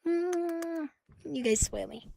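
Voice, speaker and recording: A woman livestreaming ASMR in a playful, cutesy catgirl manner, soft and breathy, often whispering, close to the microphone. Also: extremely high pitch, animated, drawn-out words, dry recording. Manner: playful, cutesy catgirl persona, with giggles and purring. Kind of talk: ASMR, intimate chat to live listeners.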